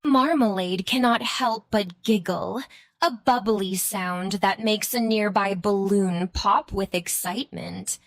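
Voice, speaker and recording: Woman telling a kids bedtime story, slow pace, really dry sound. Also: medium pitch, dry recording. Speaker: narrator of a children's bedtime story. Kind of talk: scripted storybook narration.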